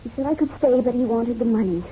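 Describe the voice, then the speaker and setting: A woman playing a troubled wife with a fairly high, soft-toned voice. She is halting and full of guilt, in melodramatic radio acting on a dull, faintly noisy recording. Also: lightly acted. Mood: sorrowful confession. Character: distraught, guilt-ridden married woman confessing to a detective.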